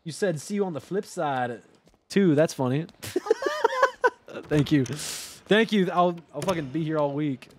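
Young male streamer, high-pitched, swings all over, loud and sweary, headset mic. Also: takes it slowly, pauses often, slight background noise. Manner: loud, swearing, joking, animated. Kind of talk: casual gameplay commentary with chat. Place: home gaming room, indoors.

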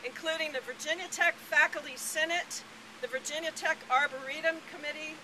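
A woman's voice: high voice, steady tone, fairly quick. An older woman reading a prepared speech at an outdoor rally in an earnest, persuasive way. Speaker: rally speaker. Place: outdoors on a campus lawn. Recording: clear outdoor ambient noise, traffic.

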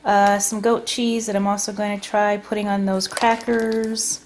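A woman vlogger casually talking through her grocery haul at home, unhurried with frequent ums. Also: medium pitch, level tone, slight background noise. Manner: chatty, unhurried, many ums. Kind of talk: casual food haul narration. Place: home kitchen table.

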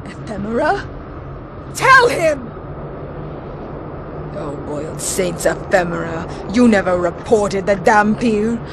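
A woman's voice: medium pitch, sing-song, takes it slowly, long pauses, very noisy. A woman playing a feral, arrogant, hot-tempered vampire villainess in a video game, theatrical, snarling and foul-mouthed. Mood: furious. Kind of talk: video game cutscene acting.

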